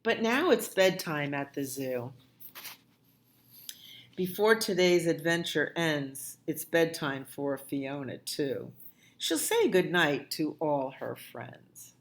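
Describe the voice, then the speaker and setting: A woman hosting a storytime for young children, reading aloud and reciting rhymes in a gentle sing-song way, often dropping to a hush. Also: sits low, expressive, takes it slowly. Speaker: children's librarian and storytime host.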